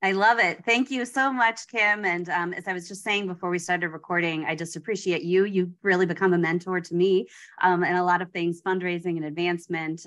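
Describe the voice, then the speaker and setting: Low-voiced enthusiastic woman guest. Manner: warm, enthusiastic, frequent um fillers. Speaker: executive director, guest. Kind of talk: podcast interview.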